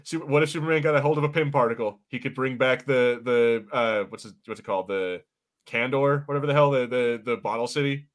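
A man's voice: medium pitch, fairly even intonation, a little fast. A man co-hosting a podcast, giving casual watch-party commentary in a chatty, slangy way with some swearing. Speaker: podcast co-host.

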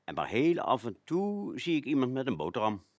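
A man's voice: mid-range voice, huge pitch swings, moderate pace, no echo, clean studio sound. An actor playing a nostalgic older man recalling a frugal childhood, musing expressively and colloquially in a radio play. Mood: wistful, animated.

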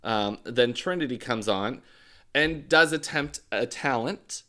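Male co-host, casual chat, calm and matter-of-fact, mid-pitched voice. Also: slow pace. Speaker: podcast co-host reviewing a TV show.

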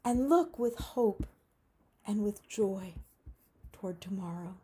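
A woman leading a prayer service, reading liturgy and scripture in a solemn, measured, deliberate way. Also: mid-range voice, warm tone, husky.

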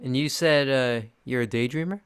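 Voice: medium pitch, normal speed, smooth. A podcast host asking questions and signing off with brief, easygoing remarks in a casual chat.

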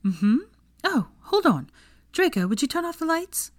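A woman voicing a self-assured, kind young witch with a gentle, encouraging manner in a storybook. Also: high voice, big pitch swings, measured pace, no echo, studio quality, pitched above the performer's own voice.

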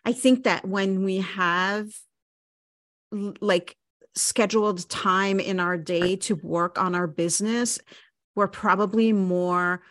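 Woman, forties, podcast host, agreeable, laughs easily, mid pitch, dry close sound. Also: steady tone, slow pace, no echo. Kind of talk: podcast interview.

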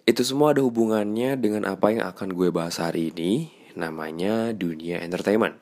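A man's voice: low-pitched, a little fast, a little mellow. A young man with a stuffy nose, hosting a casual, slangy monologue podcast in a confiding tone. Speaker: radio announcer and podcast host.

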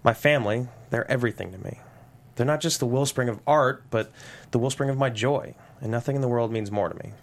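A man playing a nerdy, well-meaning father and poet with an earnest, halting delivery in a comedy table read. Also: medium pitch, lightly acted. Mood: awkward, earnest.

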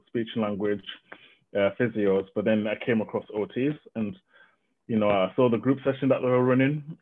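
A man from Zimbabwe with a somewhat low voice, speaking over a tinny, phone-like video call. He answers casually, stopping often to restart his sentences. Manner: hesitant, full of ums and restarts. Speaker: guest occupational therapist.

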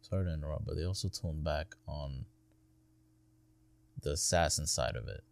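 A man's voice: a bass voice, normal speed, gravelly. A young adult man with an American accent chatting casually about video games, swearing freely and acting out imagined lines in loose slang.

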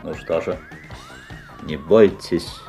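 An actor playing a polite, insinuating, mysterious magic-shop salesman in a radio play, with deliberate, unctuous courtesy. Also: low voice, warm tone. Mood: smooth, inviting. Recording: 1970s audio play, music behind.

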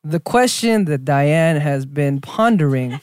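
A man's voice: on the high side, animated, takes it slowly, darkish tone, slight background noise. A man in his thirties hosting a podcast, chatting casually and teasing his guest with frequent laughs. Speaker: podcast host. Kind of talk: casual chat.

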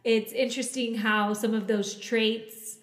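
A woman's voice: on the high side, little pitch movement, unhurried. A woman hosting a podcast interview, talking in an effusive, friendly manner. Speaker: podcast host. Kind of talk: casual interview. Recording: long reverb.

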